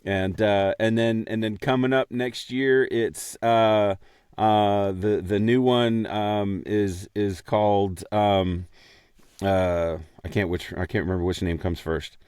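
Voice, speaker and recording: A man's voice: lowish voice, fairly even intonation, slow pace, darkish tone, clean tone, dry recording, studio quality. A man co-hosting a podcast in casual, joking chat, rambling along with playful self-deprecation. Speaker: podcast co-host and voiceover actor.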